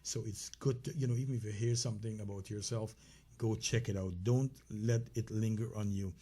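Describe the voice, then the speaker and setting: A man's voice: on the low side, fairly even intonation, a little fast, dark tone. A man with a Caribbean accent co-hosting a casual health talk show, speaking conversationally with many fillers and restarts. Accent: Caribbean English.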